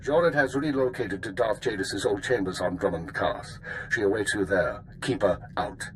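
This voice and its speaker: Man acting a deep-voiced, guarded spymaster. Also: a little hiss or hum, close to an everyday voice, rough recording. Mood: formal, cautious. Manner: formal, guarded, measured. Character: dutiful, restrained male intelligence chief. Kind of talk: video game cutscene acting.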